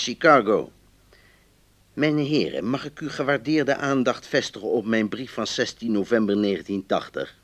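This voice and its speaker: An actor playing a polite, increasingly exasperated man reading out his formal complaint letters in a radio drama. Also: mid-range voice. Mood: irritated. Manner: formal, emphatic.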